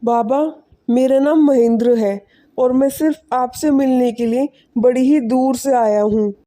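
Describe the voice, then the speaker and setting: A woman voicing a humble, selfless poor village man in an animated moral story, speaking politely and earnestly. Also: sits high, unhurried. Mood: respectful, pleading.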